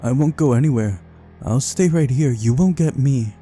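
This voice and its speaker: A paranoid young man from a visual novel, acted out by a man, frightened and agitated. His voice is raised above the narrator's usual pitch and loud, with music underneath. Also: moderate pace, lightly acted. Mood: panicked, defiant. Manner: agitated, mumbling.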